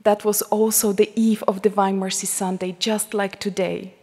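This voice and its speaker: A religious sister with a Polish accent giving a heartfelt talk to a congregation, slowing to a hushed tone when she prays. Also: medium pitch, little pitch movement. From Poland. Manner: slow, heartfelt, drops to a hushed near-whisper in prayer. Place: large church shrine. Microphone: headset mic. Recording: long church reverb.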